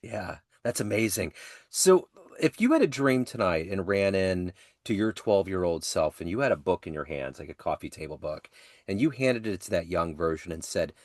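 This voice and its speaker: A man's voice: low voice, animated, moderate pace, a little mellow, dry recording, studio quality. A man hosting an interview podcast, asking questions over a call and reacting with enthusiastic affirmations. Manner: effusive, frequent yeah affirmations. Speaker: podcast host. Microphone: video call.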